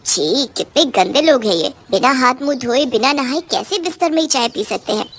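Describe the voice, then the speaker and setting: A woman's voice: sits high, animated, normal speed, a bit bright, full character voice. A woman voicing a fussy, indignant female witch ghost in a cartoon story, with a scolding, complaining manner.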